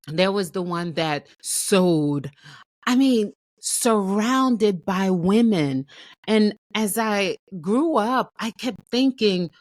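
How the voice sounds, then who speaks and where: A woman's voice: medium pitch, no echo, clean studio sound. A woman from Haiti hosting her own show, telling her story in an earnest, reflective way and often repeating her phrases. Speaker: host.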